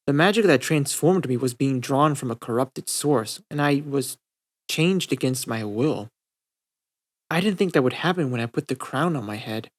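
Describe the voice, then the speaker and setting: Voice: mid-range voice, lively intonation, normal speed, close to an everyday voice. A reader voicing a sheepish, defensive teenage girl in a cartoon fan story, speaking hesitantly. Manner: hesitant, subdued.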